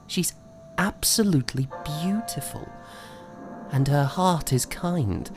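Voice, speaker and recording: A man acts out a young gentleman composer in a period audio drama, feverish and smitten. He speaks very slowly and haltingly, with tender, lively swings of pitch. Faint music plays along. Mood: smitten, wondering. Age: young adult. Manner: halting, tender, polite, with breathy chuckles. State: feverish and unwell.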